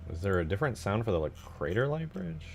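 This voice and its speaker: Low male voice, lively swings in pitch, casual streamer chat, slangy, thinking aloud. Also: fast talker, gravelly, soft background music. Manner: informal, slangy, swears casually. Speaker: game modder streaming their work. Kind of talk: casual livestream chat while working.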